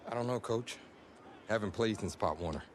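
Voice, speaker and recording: An actor playing a modest, easygoing football player in a game cutscene, speaking in a friendly, understated way. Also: male voice, lowish voice, moderate pace, a little hiss or hum, natural voice. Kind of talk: naturalistic game cutscene acting.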